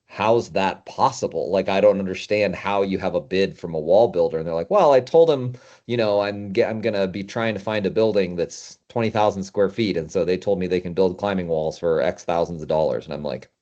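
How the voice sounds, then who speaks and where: A man's voice: sits low, brisk, mellow, lo-fi sound. A middle-aged man speaking as a podcast guest, explaining things in an informal, conversational way. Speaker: podcast guest, industry consultant.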